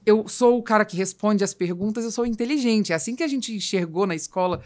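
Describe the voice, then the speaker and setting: A woman's voice: mid-range voice, fast, darkish tone. A Brazilian woman hosting a podcast, talking conversationally about business and explaining with anecdotes and emphatic repetition. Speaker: podcast host.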